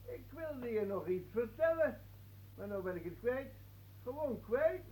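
Casting: absent-minded grumbler, dark soft-edged voice, offhand muttering, radio play. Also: male voice, very high voice, sing-song, a little slow, exaggerated voice, low-quality recording. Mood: vague, grumbling. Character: vague, forgetful, grumbling man. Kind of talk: radio drama acting.

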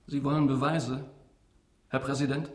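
An actor playing a brazen, self-assured young defendant in a radio drama, speaking with deliberate provocation. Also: male voice, medium pitch, little pitch movement, darkish tone, lightly acted. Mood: taunting. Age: young adult. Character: brazen young defendant, defiant illegitimate son. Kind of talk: German radio drama acting. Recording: roomy, reverberant courtroom sound.

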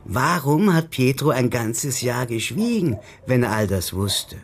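An actor playing a well-informed political comrade of a detective in a radio crime drama, speaking in a wry, knowing manner. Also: male voice, mid-range voice, big pitch swings, measured pace, slight background noise. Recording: studio radio drama with music underneath.

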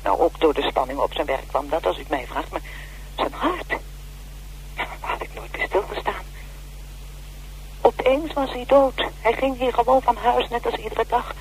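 An actress playing a wary, aggrieved middle-aged widow in a radio play, speaking hesitantly and defensively. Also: female voice, on the high side, moderate pace, pauses often, lightly acted. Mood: shaken, hesitant. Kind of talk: naturalistic radio play acting. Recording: noisy old radio broadcast with hum.

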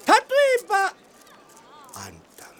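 A man's voice: measured pace, pushed and bright, a little hiss or hum. A man playing an eccentric old fortune-teller in a radio drama, with folksy old-timer speech. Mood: sly, coaxing.

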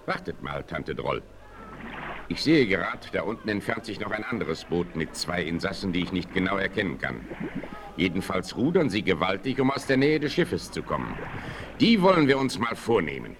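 Resolute western hero, low voice, lively intonation, authoritative, vintage radio play. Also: male voice, normal speed, background noise. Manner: assured, authoritative. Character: resolute, reassuring heroic frontiersman. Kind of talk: 1960s German radio play acting.

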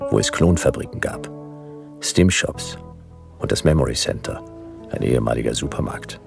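Super deep gravelly noir detective, dry clipped narration, radio play, music underneath. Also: male voice. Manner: clipped fragments, dry and laconic. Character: terse, world-weary hardboiled male detective narrator. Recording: music behind the voice.

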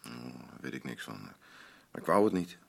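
An actor playing a bitter, defensive, grieving middle-aged husband in a naturalistic radio play, blunt and grumbling, with a gravelly voice. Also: male voice, rumbling low, sing-song, moderate pace, exaggerated voice. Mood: evasive, subdued. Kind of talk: naturalistic radio drama acting.

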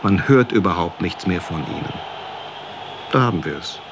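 A man's voice: low voice, lively intonation, moderate pace. A man reading a written anecdote aloud in a scripted, literary style. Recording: clear ambient noise.

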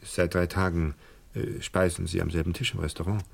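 An actor playing a courteous, discreet hotel director in a radio crime drama, gravelly, formal and careful with halting politeness. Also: male voice, low voice, flat delivery, exaggerated voice.

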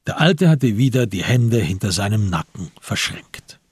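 A man narrating a literary radio drama in a measured, grave and deliberate manner. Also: fairly low pitch, big pitch swings, breathy edge, no room sound, clean studio sound.

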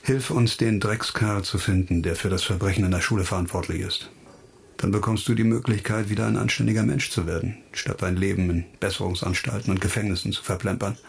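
Earnest investigator with a gravelly edge. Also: male voice, lowish voice, fairly even intonation, a little fast, exaggerated voice. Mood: persuasive. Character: male police investigator, earnest, persistent, quietly compassionate. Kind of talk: radio crime drama acting. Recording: studio radio drama, music underneath.

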